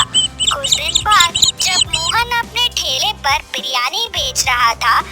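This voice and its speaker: A woman reading scripted storybook narration for a cartoon tale. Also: very high voice. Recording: music bed behind the voice.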